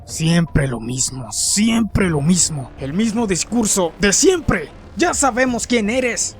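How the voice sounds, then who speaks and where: A man's voice: sits high, big pitch swings, takes it slowly, faint music behind, heavily acted. Man playing a sarcastic, weary investigator. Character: sardonic, exasperated male investigator in horror story.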